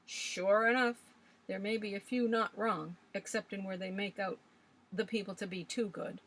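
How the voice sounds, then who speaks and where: Old village grump, loud and scornful, rustic grammar, read by a woman narrator. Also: mid-range voice, fairly even intonation, normal speed, poor audio. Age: older.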